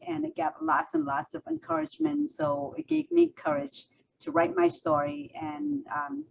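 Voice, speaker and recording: A woman's voice: high voice, vocal fry. A woman from Vietnam, hosting a conversational interview over a video call, speaking thoughtfully with frequent fillers. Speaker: host. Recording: dull lo-fi audio with faint noise.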